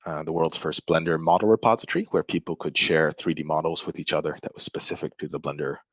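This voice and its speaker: A man's voice: extremely low pitch, steady tone, quick pace, no room sound. A man from Malaysia, a podcast guest, explaining things thoughtfully in casual talk, often restarting his sentences. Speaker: podcast guest, software developer. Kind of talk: casual interview chat.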